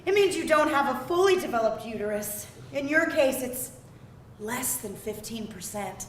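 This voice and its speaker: A woman playing an overearnest female doctor or therapist at a live script read, grave and deliberate. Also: animated. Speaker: actor at a screenplay table read. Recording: roomy, distant mic, faint rumbling noise.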